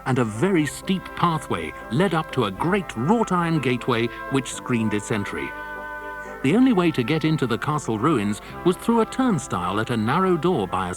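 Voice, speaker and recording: A man's voice: medium pitch, natural voice. A man reading scripted narration for a children's adventure story.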